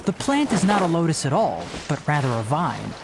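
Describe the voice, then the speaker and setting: A man's voice: fairly high pitch, sing-song, moderate pace, gravelly, noisy background. A man playing a young forest ranger in a video game, precise, earnest and a little prim, explaining things like a botanist guide. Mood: lecturing. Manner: precise, instructive, slightly prim. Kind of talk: scripted video game voice acting. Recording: game music behind the voice.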